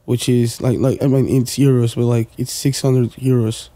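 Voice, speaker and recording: A man's voice: mid-range voice, little pitch movement, moderate pace, warm tone, no echo. A man, chatting casually as a podcast guest, rambling with lots of fillers and the odd swear word. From Portugal. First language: Portuguese. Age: 20s. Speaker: guest, music producer.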